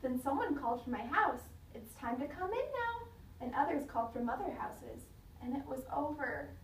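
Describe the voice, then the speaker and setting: Woman, teacher, high-pitched voice, expressive children's read-aloud, dramatic delivery, echoey room. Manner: dramatic, pausing to question listeners. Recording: roomy, distant mic, faint noise.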